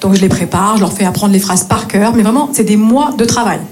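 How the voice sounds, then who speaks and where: A woman's voice: mid-range voice, fairly quick, darkish tone. A woman in her thirties from northeastern France delivering a chatty, self-mocking stand-up monologue on stage, with frequent questioning tags to her listeners. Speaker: stand-up comedian. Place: live stage before an audience. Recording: live room with audible audience. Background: audience.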